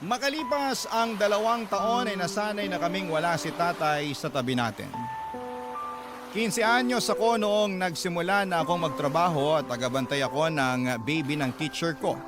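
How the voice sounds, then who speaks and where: A man narrates a radio drama in an earnest, somber tone. His voice sits a touch high for a man. Loud music runs underneath the whole time. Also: moderate pace. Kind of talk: scripted narration of a letter.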